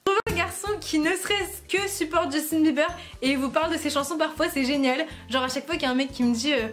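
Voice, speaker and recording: A woman's voice: sits high, fast talker, a bit bright, faint music behind. A young woman from France chatting casually to her camera at home, playful and self-mocking, often breaking into laughter. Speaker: YouTuber. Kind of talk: casual vlog chat to camera.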